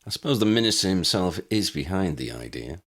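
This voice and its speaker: A man voicing a serious, cautious adult wizard hero in a storybook, measured and worried. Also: low-pitched, very animated, fairly bright tone, full character voice. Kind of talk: storybook character acting.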